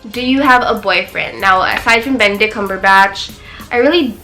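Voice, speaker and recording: A woman's voice: mid-range voice, moderate pace, sharp tone, soft background music, rough recording. A twenty-year-old Filipino woman with a Filipino accent, chatting casually as she answers questions for her beauty vlog, mixing English and Tagalog. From Philippines. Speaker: beauty vlogger. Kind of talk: casual Q&A chat. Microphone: camera.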